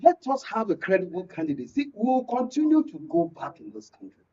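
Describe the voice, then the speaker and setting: Nigerian male guest commentator, opinionated, dark mellow tone, dull distant studio sound. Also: sits high, huge pitch swings, a little fast. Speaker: guest panelist. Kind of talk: political panel discussion.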